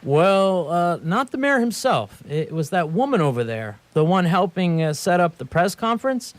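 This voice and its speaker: Man acting a high-voiced, fussy police subordinate. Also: big pitch swings, moderate pace. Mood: hesitant, concerned. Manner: hesitant, polite, pedantic. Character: eager, fussy, deferential male police subordinate, Francois. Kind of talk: radio theatre acting.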